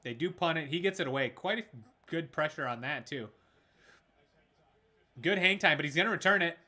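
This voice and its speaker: A man doing casual live play-by-play of a football game, excitable, his voice raspy from screaming. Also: on the high side, normal speed. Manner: excitable, shouts at big plays. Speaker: gaming streamer doing play-by-play. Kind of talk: casual live sports commentary.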